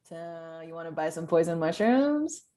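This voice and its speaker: A woman's voice: low voice, animated, normal speed, mellow. A woman playing a shady, brash poison seller in a comedy table read, cocky and wisecracking. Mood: guarded.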